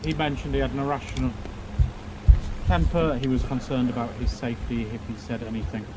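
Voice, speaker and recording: A man testifying as a witness in a roleplay court, talking formally and haltingly, often repeating words. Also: medium pitch, steady tone, noisy background.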